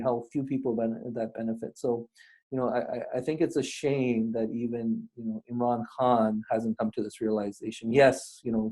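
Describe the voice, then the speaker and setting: A man's voice: medium pitch, warm tone, poor audio. A man from Pakistan hosting an interview, talking casually and rambling with plenty of "you know" fillers. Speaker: podcast host.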